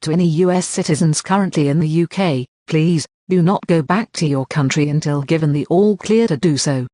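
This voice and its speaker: An announcer reading a scripted government emergency broadcast in a formal, measured, synthetic-sounding way. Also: medium pitch, warm tone, dry recording, studio quality.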